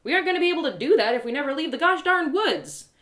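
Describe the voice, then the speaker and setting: A woman's voice: high voice, lively intonation, rapid-fire, a bit bright, pitched above the performer's own voice. A woman playing an eager, pushy young female adventurer in a fantasy audio drama, talking in an insistent, colloquial and sarcastic way. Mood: exasperated. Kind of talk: first-person fantasy audio drama reading.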